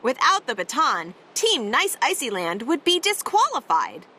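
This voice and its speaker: High-voiced, very animated woman reading a storybook. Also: a little slow, a little hiss or hum. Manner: expressive, dramatic, playful emphasis on words. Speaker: read-aloud storyteller. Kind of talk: animated children's picture book reading.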